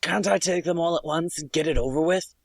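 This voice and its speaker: A man's voice: on the high side, fairly quick, gravelly, no room sound, clean studio sound. A man playing a wary, wistful old businessman in a radio drama. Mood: uneasy, pleading.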